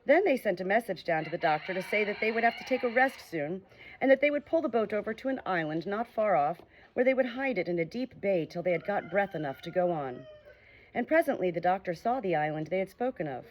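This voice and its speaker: A woman narrating a children's storybook as an audiobook, reading in a clear, steady way. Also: medium pitch, a little fast, slight background noise, rough recording.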